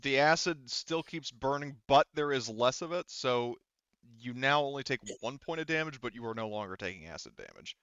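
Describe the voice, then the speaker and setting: A man's voice: mid-range voice, lively intonation, moderate pace, a bit bright, smooth, no room sound, dull audio. A man speaking casually as a game master, hesitantly thinking aloud as he works through tabletop game rules.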